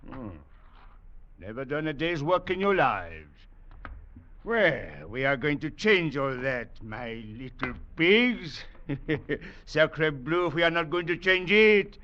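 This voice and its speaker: A dull-sounding 1940s radio recording of a menacing sergeant. He drags out his sneers and barks threats in a hard, forceful voice. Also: fairly high pitch, expressive, slow, full character voice. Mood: sneering menace. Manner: mocking, threatening, barks orders. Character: brutal, sneering Foreign Legion sergeant, sadistic and menacing.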